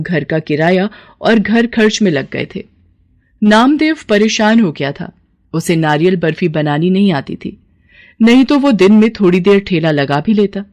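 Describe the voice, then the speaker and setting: A woman's voice: medium pitch, a little mellow. Woman narrating a scripted moral story.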